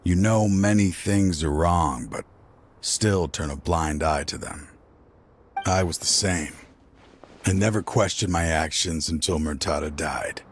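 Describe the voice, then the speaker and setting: An actor playing a weary, regretful older man in a video game, a grieving father who sighs and talks slowly. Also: low voice, a little hiss or hum. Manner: weary, slow, sighing. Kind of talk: game cutscene voice acting.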